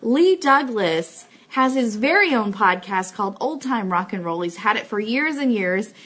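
A woman's voice: fairly high pitch, lively intonation, normal speed, fairly bright tone. A woman co-hosting a casual live online show, chatty and effusive as she speaks to her viewers. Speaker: co-host and founder of a radio show.

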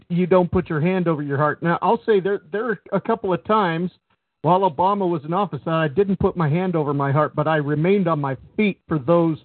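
Male radio co-host, a bit high-pitched, measured and opinionated; dry, tinny sound. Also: no room sound. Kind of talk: casual radio talk. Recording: phone-like narrow sound, dry.

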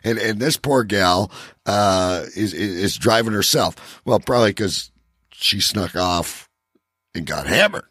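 A man's voice: fairly low pitch, lively intonation, a little slow, a bit bright, husky, no echo, studio quality. A man co-hosting a casual comedy talk show, teasing and interrupting with profane banter. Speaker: co-host.

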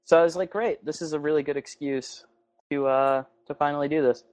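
A man's voice: fairly high pitch, fairly even intonation, brisk, darkish tone. A man from New Hampshire co-hosting a podcast, rambling and swearing in casual banter. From New Hampshire, USA. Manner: rambling, swearing, laughs at own puns. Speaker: podcast co-host. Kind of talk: casual chat.

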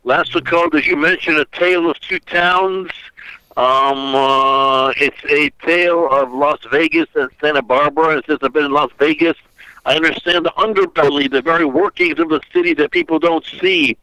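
A man's voice: fairly high pitch, normal speed, pushed and bright, smooth, no echo, rough recording. An older man from Austria, a guest author on an internet call, talking in a rambling way with many fillers and restarts. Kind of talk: phone interview. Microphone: internet call audio.

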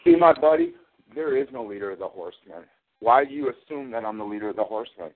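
A man's voice: medium pitch, big pitch swings, fast, gravelly. A man cutting a sarcastic, mocking wrestling promo, taunting his rival as champion. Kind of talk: trash-talking wrestling promo over a call. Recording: tinny phone line.

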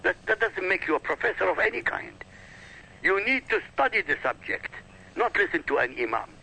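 A man's voice: high-pitched, sing-song, normal speed, gravelly, sharp tone, a little hiss or hum, rough recording. A man whose first language is Arabic, arguing insistently on a radio talk show and lecturing with emphatic repetition. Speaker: guest.

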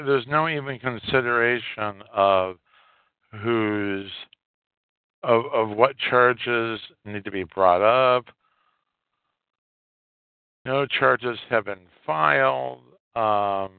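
A man hosting a solo podcast, giving unscripted political commentary in a halting way and repeating phrases for emphasis. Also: medium pitch, smooth, no echo. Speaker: podcast host. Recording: muffled, tinny phone-like sound.

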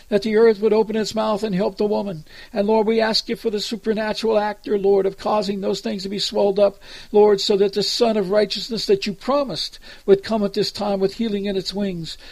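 A man's voice: sits high, creaky, darkish tone, no echo. An American man praying aloud as a preacher, earnest and pleading, improvising as he goes and repeatedly addressing God. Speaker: preacher leading prayer. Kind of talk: extemporaneous spoken prayer.

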